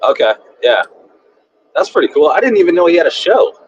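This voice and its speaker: A man in his thirties, a guest chatting casually and relaxed about his family. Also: on the high side, brisk, a little hiss or hum. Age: 30s. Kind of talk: casual interview chat. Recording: dull lo-fi audio.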